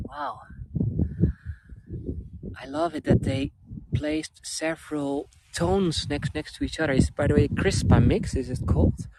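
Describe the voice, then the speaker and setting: Slow, lively Dutch-accented man outdoors. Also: a little high, vocal fry. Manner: enthusiastic, chatty, answers viewer comments. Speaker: vlog host giving a garden tour. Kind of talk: live-streamed casual tour chat. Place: outdoor flower garden. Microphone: camera on a handheld gimbal. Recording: loud background noise outdoors.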